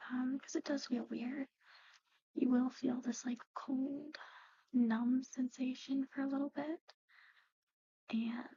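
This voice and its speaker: Woman, whispery ASMR roleplay, mellow and soft, even pitch, gently explaining, slightly dull recording. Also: a little hiss or hum. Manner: hushed, unhurried, gently explaining. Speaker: ASMR performer playing a medical practitioner.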